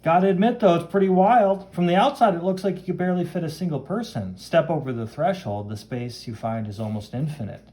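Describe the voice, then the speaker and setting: A man's voice: on the high side, a little fast, few pauses, warm tone, pitched above the performer's own voice, close to an everyday voice. A man voicing a cocky, chivalrous young superhero, reading comic-book lines aloud in a brash, dramatic manner. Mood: brisk, wondering. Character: cocky, chivalrous young male superhero who wields flame.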